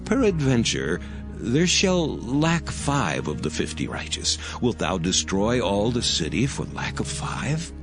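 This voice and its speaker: An actor playing a humble, pleading old patriarch in a dramatized scripture reading, earnest and deliberate. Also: male voice, fairly low pitch, huge pitch swings, music in the background, exaggerated voice.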